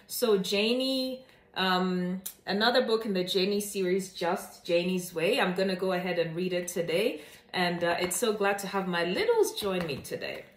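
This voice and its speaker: A woman host speaking in an expressive, deliberate, enthusiastic storytelling manner for a children's read-aloud channel. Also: lowish voice, echoey room. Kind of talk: scripted-sounding channel introduction and animated children's story reading.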